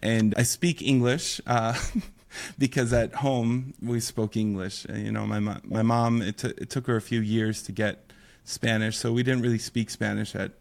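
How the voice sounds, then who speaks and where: A man raised in Mexico, a missionary pastor, talking in a relaxed, joking way in a casual podcast conversation. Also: lowish voice, normal speed, gravelly. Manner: relaxed, joking, repeats words.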